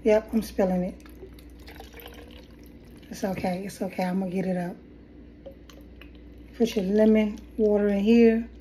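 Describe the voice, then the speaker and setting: Woman with a cold, mellow voice, rambling casual chat, phone at a kitchen table, faint background noise. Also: medium pitch, flat delivery, measured pace, pauses often, poor audio. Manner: rambling and chatty, with restarts. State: has a head cold, coughing. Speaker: hair vlogger. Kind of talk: casual DIY tutorial. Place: kitchen table at home, indoors. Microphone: phone camera at table.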